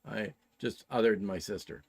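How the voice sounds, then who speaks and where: Gravelly low-pitched Canadian man in his sixties. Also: normal speed. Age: 60s. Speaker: live show co-host. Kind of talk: casual chat explaining travel plans.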